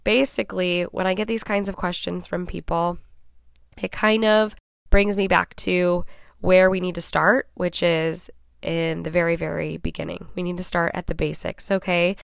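A woman hosting her own podcast in a casual, chatty way, hoarse and raspy from a cold, often checking in with "right?" and "okay?". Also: on the low side, steady tone, normal speed, no room sound, clean studio sound. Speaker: podcast host. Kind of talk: casual solo podcast talk.

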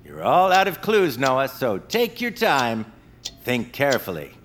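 A man's voice: medium pitch, wildly swooping pitch, unhurried, a bit bright, a little hiss or hum. A man playing a cheerful, unflappable radio game-show host in an audio drama, showy and politely officious. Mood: firm, genial.

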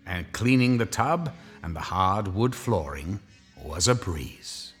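A man narrating a scripted horror story in a wry, measured, dramatic way. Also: sits low, lively intonation, a bit bright, slightly rough, faint music behind.

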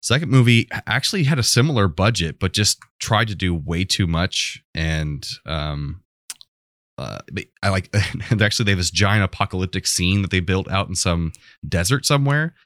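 A man's voice: low voice, expressive, normal speed, a bit bright, no room sound, studio quality. An American man co-hosting a podcast, talking casually and telling things in an informal, rambling way. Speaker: podcast co-host.

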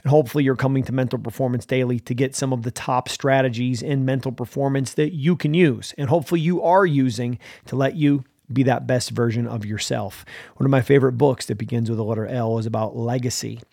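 A man's voice: mid-range voice, steady tone, brisk, gravelly, darkish tone, no echo, clean studio sound. A man giving a motivational talk as a mental performance coach, with a punchy, emphatic delivery full of slogans.

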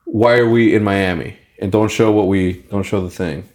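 A man hosting a podcast interview, energetic and rambling, restarting his sentences often. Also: lowish voice, normal speed. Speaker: podcast host.

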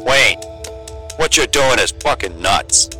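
A man's voice: mid-range voice, expressive, slow pace, sharp tone, faint music behind, full character voice. A man playing a sceptical, worried friend in a drama trailer, blunt, colloquial and urgent. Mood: incredulous.

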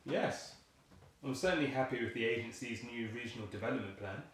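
An actor plays an earnest, practical-minded engineer in a radio play. His mid-pitched voice is naturalistic. It rings in a strongly echoing room with faint noise. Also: brisk, natural voice. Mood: satisfied. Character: earnest, pragmatic older-generation agency engineer. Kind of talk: naturalistic audio play acting.

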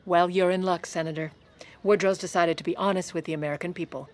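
A woman's voice: lowish voice, fairly even intonation, fast, darkish tone, natural voice. An actress playing a sardonic, middle-aged First Lady in audio fiction, wry and politely barbed. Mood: barbed politeness. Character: sardonic, steely Virginian First Lady, scheming and charming. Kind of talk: audio fiction acting with first-person narration.

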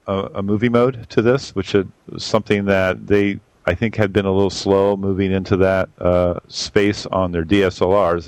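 Low-voiced man with a boomy sound. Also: no room sound. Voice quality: boomy on a mic. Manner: rambling, lots of fillers and restarts. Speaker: photography podcast regular reviewing cameras. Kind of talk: casual spoken camera review.

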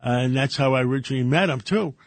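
An older Greek-born man, a radio co-host, who talks casually in halting bursts with many fillers and restarts. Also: mid-range voice, no room sound. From Greece.

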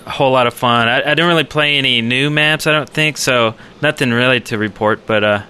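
Bright, clear-voiced man chatting about games. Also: medium pitch, fairly quick. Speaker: podcast co-host. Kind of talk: casual gaming chat.

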